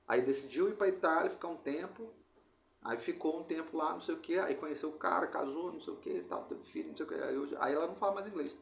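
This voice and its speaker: Casting: male podcast host, Rio de Janeiro accent, slightly high voice, slangy rambling storyteller, roomy echoey sound. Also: fast talker, gravelly, slight background noise. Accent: Brazilian Portuguese, Rio de Janeiro. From Brazil. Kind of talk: casual chat, telling family anecdotes. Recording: roomy echo.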